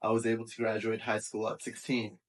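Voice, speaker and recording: A man's voice: fairly low pitch, monotone, a little fast. A man in his twenties with an American accent, telling his story casually as a panel guest. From raised in England and Texas. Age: 20s.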